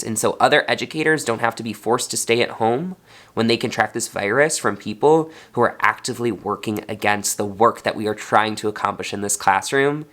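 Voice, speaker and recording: A man's voice: mid-range voice, fairly bright tone. Congested man, earnest teacher vlog. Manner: earnest, heartfelt. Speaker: fourth grade teacher. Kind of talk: personal vlog.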